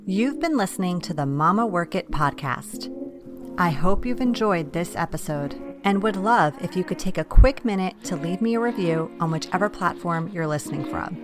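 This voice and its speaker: A woman's voice: fairly low pitch, expressive, normal speed, music in the background. A woman hosting an interview podcast, encouraging and affirming toward her guest and her listeners. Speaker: podcast host.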